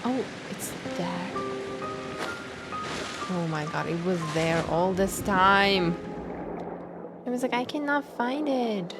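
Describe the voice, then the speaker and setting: A woman's voice: medium pitch, slow pace, pauses often. A woman game streamer giving casual live commentary, chatty and reactive. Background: game music.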